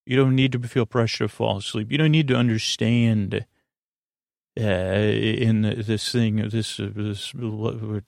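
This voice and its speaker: Creaky-voiced man, rambling bedtime podcast host. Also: fairly low pitch, fairly even intonation, a little mellow, no room sound, clean studio sound. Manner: meandering, halting, stammering, trails off into tangents.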